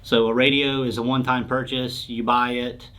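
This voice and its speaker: A man's voice: medium pitch, little pitch movement, normal speed, a bit bright. A man answering questions as a sales expert in an informal explainer interview, speaking in a plain, explanatory way.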